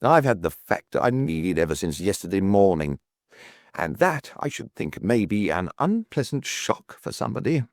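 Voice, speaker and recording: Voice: sits low, sing-song, moderate pace, no room sound. A narrator voicing a restrained, shrewd man playing detective in an audiobook, terse and dry in manner. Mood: grim. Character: restrained, shrewd, grimly impatient amateur sleuth man.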